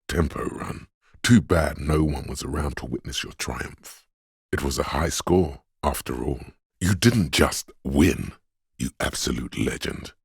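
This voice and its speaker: Very deep, knowing inner-voice game narrator. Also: male voice, creaky, a little mellow, slightly rough, dry recording, studio quality, exaggerated voice. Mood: wry, grand. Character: detective's inner voice of quick reflexes, alert, wry narrator. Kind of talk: dramatic video game narration.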